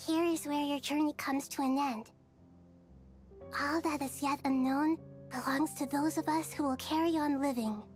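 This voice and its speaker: An actress playing a small, wise goddess in a video game, serene but firm, speaking with calm, solemn deliberation. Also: female voice, high voice, moderate pace, lightly acted. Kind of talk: scripted video game voice acting. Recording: music under the voice.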